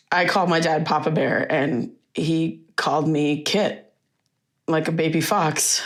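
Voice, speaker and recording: An actress playing a young grieving daughter in a naturalistic audio drama, talking in a halting, conversational, wistful way. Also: female voice, low voice, steady tone. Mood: fond reminiscing. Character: grieving daughter reminiscing fondly about her late father.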